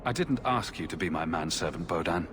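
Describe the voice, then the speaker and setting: An actor playing an easygoing adventurer hero in a video game, with a wry, understated delivery. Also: male voice, sits low, lively intonation, brisk, over music. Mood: relaxed, candid. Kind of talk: video game cutscene acting.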